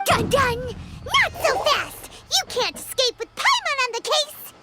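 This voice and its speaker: Very high squeaky tiny creature, cheeky, excitable, wildly swooping, slow drawn-out delivery, game character. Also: female voice, faint music behind, exaggerated voice. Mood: triumphant. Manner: excitable, blurts and stammers. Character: tiny floating female companion creature, cheeky and chatty. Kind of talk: video game voice acting.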